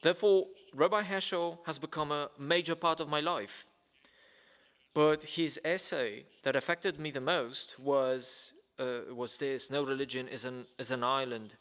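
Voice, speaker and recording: A man's voice: mid-range voice, steady tone, measured pace, a bit bright, smooth. Hesitant man reading in a reverberant hall. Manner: hesitant, many fillers, stumbles on names. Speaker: reading a guest's letter aloud at a ceremony. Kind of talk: formal speech read aloud. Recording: long reverb of a large hall.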